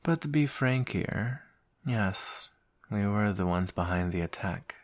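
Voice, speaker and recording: A man's voice: lowish voice, expressive, unhurried. A man playing a smug soldier villain in an ASMR roleplay, taunting and drawling with mock sweetness and menace. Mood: coolly menacing.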